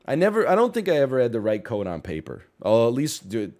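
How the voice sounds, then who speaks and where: A young man from Canada chats on a livestream, his voice slightly congested. He rambles through stories, corrects himself mid-sentence and throws in jokey asides. His pitch sits in the middle range for a man. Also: wildly swooping pitch, quick pace, a little mellow. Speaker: streamer. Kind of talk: casual chat.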